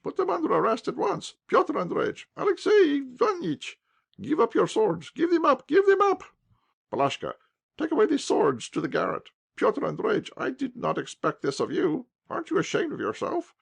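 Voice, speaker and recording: A man voicing a bossy middle-aged commandant's wife, scolding and giving orders in a high, loud voice. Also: creaky, husky, exaggerated voice.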